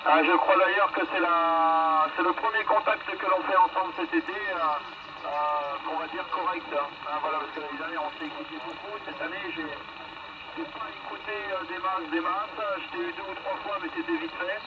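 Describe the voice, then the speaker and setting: High-pitched man over noisy CB radio. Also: steady tone, normal speed. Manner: chatty, repeats phrases for confirmation. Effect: radio transmission. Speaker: mobile amateur radio operator. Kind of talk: casual CB radio contact chat. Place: moving car on the road. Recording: dull, very noisy CB radio reception. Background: loud radio and vehicle noise.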